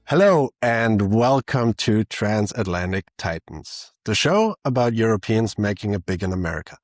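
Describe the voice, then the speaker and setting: A man's voice: lowish voice, expressive, moderate pace, no room sound. A man hosting a podcast, asking his guest questions in a friendly, conversational way. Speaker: podcast host. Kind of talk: interview hosting.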